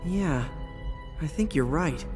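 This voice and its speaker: A man's voice: on the high side, expressive, slow, music in the background. A man voicing a confident, wisecracking teenage boy hero in a video game, with a casual, cocky way of talking. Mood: serious, wary. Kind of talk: video game cutscene voice acting.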